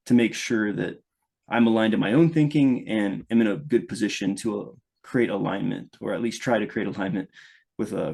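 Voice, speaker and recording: Low-voiced man from Louisiana. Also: gravelly, darkish tone, husky. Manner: thoughtful, restarts often, speeds up when engaged. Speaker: guest, product manager. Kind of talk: conversational podcast interview.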